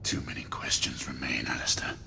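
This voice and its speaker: An actor playing a grieving, vengeful Victorian knight, speaking in terse, hushed, grim lines in a video game. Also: male voice, very deep voice, completely flat delivery, a little fast, gravelly, whispered. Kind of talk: cinematic video game acting.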